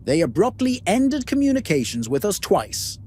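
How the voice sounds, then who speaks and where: Man acting a blunt, higher-voiced starship brother. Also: huge pitch swings, normal speed, a little mellow, slight background noise. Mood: matter-of-fact. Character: questioning, skeptical brother among a starship crew. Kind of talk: amateur sci-fi audio drama acting.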